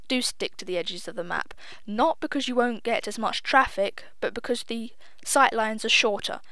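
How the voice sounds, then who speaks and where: A woman's voice: a little high, a bit bright, no echo. A young woman giving casual, chatty gameplay commentary. She is self-deprecating, swears offhandedly and admits she sounds a little nervous. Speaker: gaming video host.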